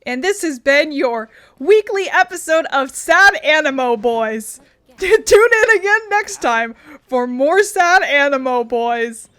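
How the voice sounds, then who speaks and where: A woman's voice: high voice, lively intonation, slow, a bit bright. A woman hosting a casual game playthrough, playful, chatty and rambling. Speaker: gaming video host. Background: game music.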